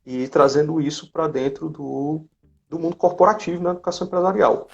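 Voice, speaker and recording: A man's voice: on the high side, brisk, gravelly. A man with a Brazilian accent hosting a casual live interview chat, recorded in lo-fi live-stream sound. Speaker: host of a company live stream. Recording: dull lo-fi live-stream audio, faint music.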